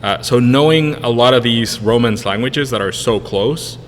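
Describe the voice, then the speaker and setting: A man's voice: mid-range voice, moderate pace. A man in his thirties whose first language is Spanish, answering interview questions in a rambling way with many fillers and restarts. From Spanish-speaking country, moved to the US in 1999. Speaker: podcast guest, brewery co-owner. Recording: room with long reverb, faint noise.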